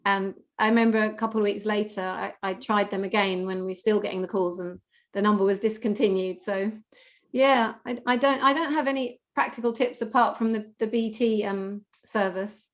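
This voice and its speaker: A woman shares her own experience in a casual, unscripted way. Her pitch is average and stays quite flat, and she often hesitates as she searches for words. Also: normal speed. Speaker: carer and contributor. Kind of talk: casual personal account.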